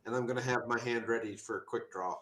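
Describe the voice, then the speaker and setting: A man's voice: medium pitch, fairly even intonation, fast, a bit bright. Man playing tabletop game, echoey room. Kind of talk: casual narration of character actions. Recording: echoey room, faint background noise.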